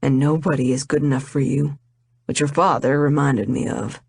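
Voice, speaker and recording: A woman voicing an earnest young man proposing, speaking softly and deliberately. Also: rumbling low, steady tone, gravelly, slightly rough, no echo, pitched below the performer's own voice, heavily acted. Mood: tender. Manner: soft, heartfelt, deliberate.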